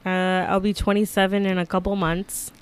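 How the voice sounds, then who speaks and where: A woman's voice: mid-range voice, moderate pace, clean tone. Chatty twenty-something woman co-host. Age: 20s. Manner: chatty, many fillers, animated storytelling. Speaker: podcast co-host. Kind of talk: casual chat.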